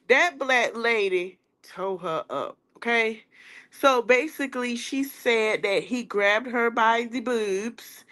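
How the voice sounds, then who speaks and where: A woman's voice: medium pitch, pushed and bright. A woman podcast host with a stuffy nose, recapping a trial in casual chat and calling her viewers y'all. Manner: chatty, drawn-out, addresses viewers as y'all.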